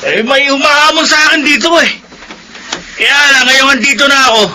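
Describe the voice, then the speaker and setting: A man's voice: extremely high pitch, fairly quick, bright, forceful tone, slight background noise, heavily acted. An actor playing a belligerent drunk younger man in a radio drama, slurred and defiant, spoiling for a fight. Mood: drunken, stubborn.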